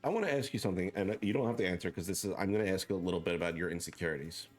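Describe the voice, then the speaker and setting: A man hosting a casual interview, loud, joking and self-deprecating as he talks. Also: deep voice, very fast, faint music behind. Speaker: host.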